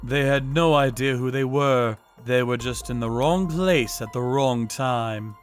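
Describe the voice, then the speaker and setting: A man voicing a hardboiled male vigilante who narrates the story in a grim, steady manner in a manga dub. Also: medium pitch, unhurried, loud music behind the voice, lightly acted.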